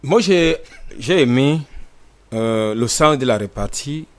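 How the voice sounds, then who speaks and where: Mid-pitched measured debating man. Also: expressive. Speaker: panelist. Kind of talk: televised debate.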